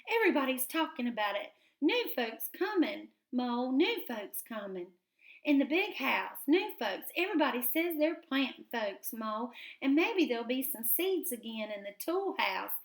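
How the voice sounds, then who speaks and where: A woman playing an excitable little field mouse. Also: sits high, reverberant, pitched above the performer's own voice. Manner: breathless, excited rush.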